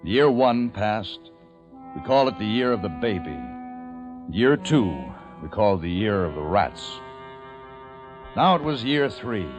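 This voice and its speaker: Deep-voiced, grave apocalypse survivor. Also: male voice, very animated, highs cut off, loud music behind the voice. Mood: solemn, foreboding. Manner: solemn, deliberate, dramatic delivery. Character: grave, resolute male survivor of a plague-emptied world. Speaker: radio drama lead actor and narrator. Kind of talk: old time radio dramatic acting and narration. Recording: vintage radio drama with musical underscore.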